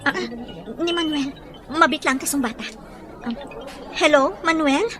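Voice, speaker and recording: Woman acting sweet young woman, very high-pitched. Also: measured pace, a crowd in the background, noisy background. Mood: friendly, puzzled. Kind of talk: Ilocano audio drama acting.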